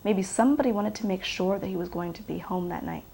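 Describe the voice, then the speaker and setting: An actress plays a woman being questioned in a naturalistic crime film. She is defiant and sardonic, pushing back bluntly in a medium-pitched voice with plenty of fry. Light background noise sits under her. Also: fast talker, mellow, lightly acted. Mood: blunt, unapologetic. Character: defiant, bitter ex-girlfriend questioned by police.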